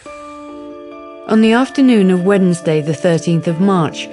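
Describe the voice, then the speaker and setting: A woman's voice: fairly low pitch, measured pace, warm tone, faint music behind. A woman reading scripted literary narration for a radio drama.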